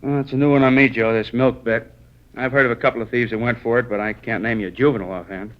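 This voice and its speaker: A man's voice: medium pitch, fairly even intonation, quick pace, natural voice. A man acting an easygoing juvenile bureau sergeant in a 1950s radio police drama, offhand and wry. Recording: dull vintage radio recording.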